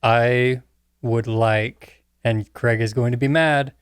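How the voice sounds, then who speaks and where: Guy on a podcast panel, average pitch, explains his takes carefully, no room echo. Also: steady tone, slow, smooth, clean studio sound. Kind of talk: casual chat.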